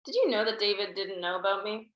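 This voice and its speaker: Woman as earnest wry teen girl, drama read, mid pitch, roomy echo. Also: fast, dull audio, close to an everyday voice. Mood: hesitant. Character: earnest, forgiving, wry fifteen-year-old girl.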